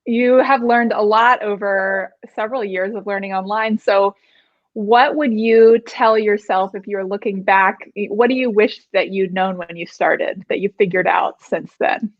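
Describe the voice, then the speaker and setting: A woman's voice: medium pitch, steady tone, measured pace, no room sound. A woman hosting an interview, supportive and conversational, asking her guest questions. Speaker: interview host. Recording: dull, dry sound.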